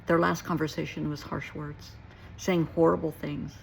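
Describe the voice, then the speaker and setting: A woman's voice: deep voice, level tone, normal speed, gravelly, a little hiss or hum, low-quality recording. A middle-aged woman giving a reflective personal talk to listeners, earnest and emphatic, repeating short phrases for weight. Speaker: therapist and nurse.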